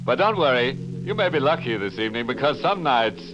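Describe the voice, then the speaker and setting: An actor playing a macabre horror show host in 1940s radio style, with a theatrical, drawn-out delivery full of morbid glee. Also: male voice, mid-range voice, big pitch swings, close to an everyday voice. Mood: ghoulish glee. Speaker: host and narrator. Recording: muffled old radio recording with music.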